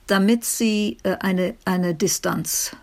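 Slow, halting woman scholar with creaky voice. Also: mid-range voice, a little mellow. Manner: halting, searching, repeats phrases. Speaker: literary scholar, interviewee. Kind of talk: spontaneous interview explanation.

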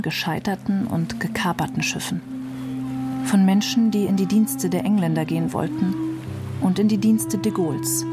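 A woman reading literary prose in a measured, solemn manner for a radio feature. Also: fairly low pitch. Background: music under the reading.

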